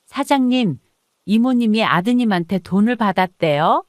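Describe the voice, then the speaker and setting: A woman's voice: mid-range voice, lively intonation, moderate pace, dry recording, studio quality, lightly acted. A woman playing a hesitant junior kitchen worker in an audiobook drama. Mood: uneasy.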